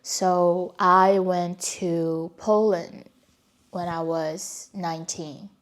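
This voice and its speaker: A woman's voice: lowish voice, fairly even intonation, extremely slow. A young adult woman from China, a native Mandarin speaker with a Chinese accent, telling her life story in a reflective, candid way. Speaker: vlogger. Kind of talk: personal storytelling.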